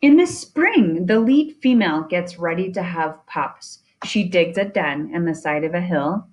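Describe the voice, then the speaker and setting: A woman reading a children's nonfiction picture book aloud in a deliberate way, with chatty asides. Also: fairly low pitch, expressive, darkish tone, rough recording. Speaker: reader of children's science book.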